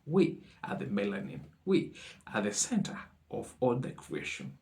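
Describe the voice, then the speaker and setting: A man hosting a commentary video, introducing clips in a halting way with frequent pauses. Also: fairly low pitch, huge pitch swings, a little mellow. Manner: halting, many uh fillers. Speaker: video host.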